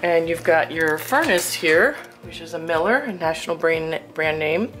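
A woman's voice: low-pitched, lively intonation, moderate pace, music in the background. A woman giving a casual, admiring and exclamatory walkthrough of a house as a realtor, recorded indoors on a handheld camera. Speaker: realtor showing a home for sale. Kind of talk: casual walkthrough home tour narration. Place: indoors, inside a furnished house.

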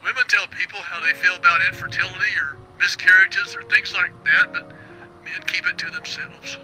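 High, bright-voiced troubled male radio host. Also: fairly even intonation, soft background music, poor audio. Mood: sympathetic, heavy. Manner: halting confessions, exasperated outbursts. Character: troubled, high-strung male late-night radio host, melancholy and easily flustered. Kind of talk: radio drama acting in a game.

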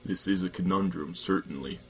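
A man voicing Death as a calm, ancient reaper who speaks slowly and gravely. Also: low-pitched, faint music behind. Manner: slow, grave, measured.

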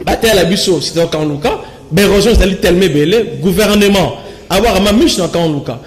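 This young man speaks with a Congolese accent, mixing French and Lingala as a guest on a TV studio set. His voice sits high and he talks in animated bursts, gesturing as he goes and often restarting his sentences. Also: a little fast. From Kinshasa, Congo. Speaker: studio guest, local resident. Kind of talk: studio interview, animated talk. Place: TV studio with screen backdrop.

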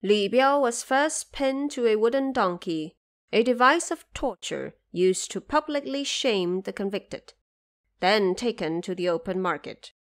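Female narrator, mid-pitched voice, scripted dramatic storytelling for a classic adventure audio drama. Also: animated, measured pace.

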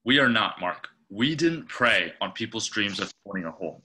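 A man's voice: fairly low pitch, expressive, normal speed, bright, forceful tone. A young man voicing an anxious, pushy male fund trader who keeps pressing to sell, read at a table read over a video call. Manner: urgent, insistent, pressing. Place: small home room. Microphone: webcam on a video call.

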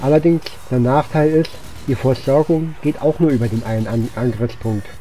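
A man's voice: medium pitch, moderate pace, dark tone. A man gives casual, rambling video game commentary, thinking aloud as he plays. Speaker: gaming channel host. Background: game music and sound effects.

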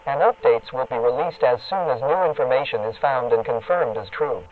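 A man playing an official emergency alert announcer, reading a scripted warning in a formal, impersonal, measured way through a radio filter. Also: mid-range voice. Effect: radio broadcast filter. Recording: narrow, phone-like radio broadcast sound.

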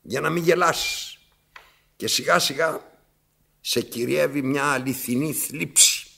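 A raspy, imperious old blind tyrant. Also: male voice, a little high, bright, forceful tone, full character voice. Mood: weary, brooding. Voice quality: raspy, gravelly. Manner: imperious, dramatic, pausing and repeating phrases. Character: domineering, self-pitying old blind man in an armchair. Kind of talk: theatrical radio drama acting.

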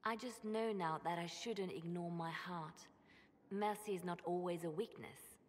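A woman acting an earnest, conscience-torn spymaster with a measured, solemn delivery in a video game. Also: on the low side, slight background noise, lightly acted. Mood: earnest, resolved. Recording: music behind the voice.

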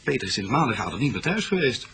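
A man's voice: mid-range voice, sing-song, quick pace, a bit bright. An actor playing a young male student in a Dutch radio police drama, explaining things in a hesitant, informal, faltering way. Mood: uneasy, explaining.

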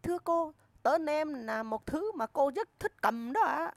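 A woman's voice: sits high, expressive, measured pace, gravelly, no echo, heavily acted. A woman voicing cheeky young schoolchildren in a crude comedy cartoon, with exaggerated delivery. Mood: timid, polite.

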